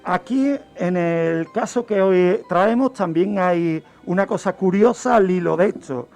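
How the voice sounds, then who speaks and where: A man, telling true-crime cases as a guest criminologist on a radio show, explaining deliberately. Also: high-pitched, gravelly, darkish tone. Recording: music bed under the voice. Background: background music.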